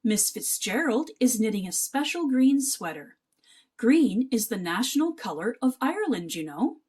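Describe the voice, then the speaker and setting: Woman putting on a blustering storybook uncle mouse, lively pitch. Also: normal speed, breathy edge. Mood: brisk, dismissive. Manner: blustering, scoffing. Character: brisk, dismissive Irish uncle mouse, jolly and scolding.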